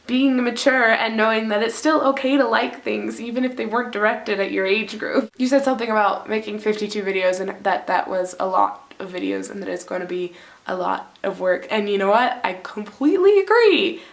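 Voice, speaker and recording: A woman's voice: medium pitch, gravelly. A young woman from France, chatting casually to camera at home in a bubbly, talkative way. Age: young adult. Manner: bubbly, chatty, quick bursts of excitement. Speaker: vlogger.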